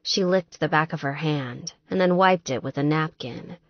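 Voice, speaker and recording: A woman's voice: low voice, moderate pace, no room sound, lo-fi sound. A woman narrating scripted fiction as an audiobook reader.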